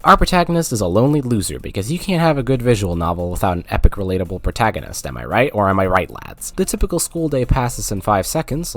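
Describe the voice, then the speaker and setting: A man narrating a scripted, jokey video game review with sarcastic, playful asides. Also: medium pitch.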